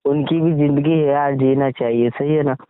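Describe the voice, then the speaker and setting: A man's voice: on the high side, steady tone, normal speed, clean tone, no room sound. Young man on a muffled phone call. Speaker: boyfriend on a call with his girlfriend. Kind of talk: casual intimate phone chat. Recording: muffled, band-limited phone call recording.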